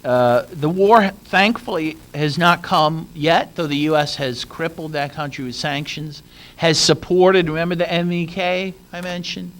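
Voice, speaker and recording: A man's voice: on the high side, a little slow, fairly bright tone. A man speaking on a conference panel, earnest and with frequent fillers and restarts. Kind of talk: conference talk. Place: conference room.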